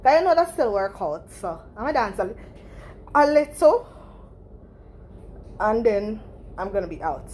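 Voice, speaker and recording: A woman's voice: mid-range voice, big pitch swings, normal speed, long pauses, noisy background. A woman with a Jamaican accent talking playfully to her viewers in a casual home workout vlog. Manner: chatty and playful, breathless after exercise. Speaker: vlogger.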